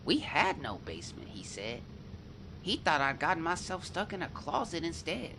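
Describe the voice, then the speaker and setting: Woman as an emotive child narrator, animated and noisy. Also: low-pitched, moderate pace. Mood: subdued. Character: frightened young Cain, a child telling a past ordeal. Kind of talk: dramatic first-person audio drama narration.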